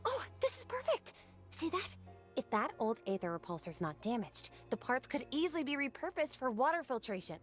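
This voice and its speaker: Eager bookish young woman, very lively. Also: high voice, fairly quick. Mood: excited. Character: eager, bookish young female tinkerer companion. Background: music.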